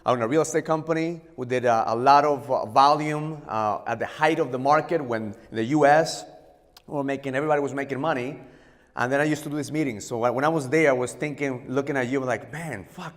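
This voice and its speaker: A man's voice: a little high, big-room sound. Profane Ecuadorian-born man giving a keynote. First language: Spanish. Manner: blunt, profane, switching between Spanish and English. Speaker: keynote speaker. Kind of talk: motivational keynote talk. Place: hotel conference hall.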